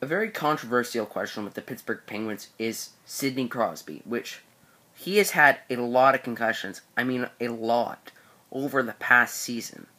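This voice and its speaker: A teenage boy giving a steady, prepared breakdown of a hockey player's stats. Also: medium pitch, moderate pace. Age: teens. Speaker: co-host.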